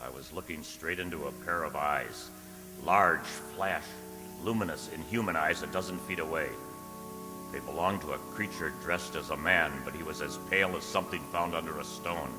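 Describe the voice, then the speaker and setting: Male actor as fanciful poet, very low voice, theatrical diary narration, literary phrasing, radio play, long reverb. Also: moderate pace, over music. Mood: unnerved, hushed. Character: fugitive male poet, secretive, fanciful, easily unnerved.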